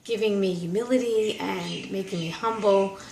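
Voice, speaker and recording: Female vlogger, casual and earnest, reflective and rambling, voice a bit hoarse with a cold; roomy sound with faint background noise. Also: medium pitch, moderate pace, darkish tone, far from the mic. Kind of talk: casual reflective monologue to camera.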